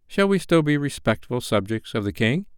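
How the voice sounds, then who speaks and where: Man playing a high, earnest English lad. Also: sing-song, normal speed, clean tone, no echo, studio quality, lightly acted. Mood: uncertain. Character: brave, boyish English lad, diffident yet defiant.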